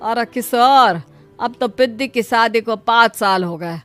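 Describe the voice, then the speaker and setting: A woman's voice: a little high, measured pace, fairly bright tone, soft background music, voice pushed up. A woman voicing an impatient older moneylender in a story, nagging and insistent. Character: impatient older village moneylender demanding repayment.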